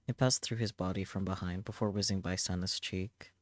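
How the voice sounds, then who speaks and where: A man's voice: low voice, fairly even intonation, fairly quick. A man reading a visual novel aloud on a stream as dramatic story narration.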